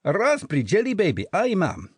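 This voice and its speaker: A man doing a tiny flying jelly-baby private, eighteen and eager, with a thick Scottish accent and a raised, husky voice. He rattles off his words in a brisk, military staccato, as in a read-aloud storybook. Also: high-pitched, wildly swooping pitch, no room sound, clean studio sound, voice pushed up, heavily acted. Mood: chirpy.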